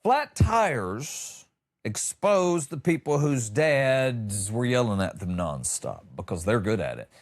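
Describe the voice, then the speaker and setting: Animated American man doing a comedy monologue. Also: mid-range voice, takes it slowly. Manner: wry, exaggerating, acts out quoted lines. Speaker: comedy podcast host. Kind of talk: humorous monologue on news stories.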